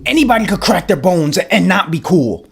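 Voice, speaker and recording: A woman's voice: on the low side, sing-song, moderate pace, gravelly, slightly rough, exaggerated voice. A woman playing an exasperated parent in a comedic family skit, scolding dramatically. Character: exasperated, scolding parent arguing with a bratty kid.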